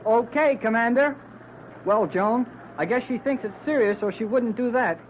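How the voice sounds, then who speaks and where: An actor playing an eager, brisk boy radio operator in an old-time radio adventure, talking in earnest, slangy, urgent lines. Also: very high voice. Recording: noisy, muffled old radio recording.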